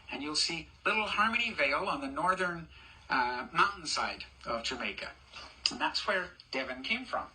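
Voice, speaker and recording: Older male storybook reader, high-ish, very bright voice; chatty, asks the kids questions between pages. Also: rough recording. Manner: warm, chatty, stops to ask children questions and point at pictures. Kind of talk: reading a picture book aloud to children.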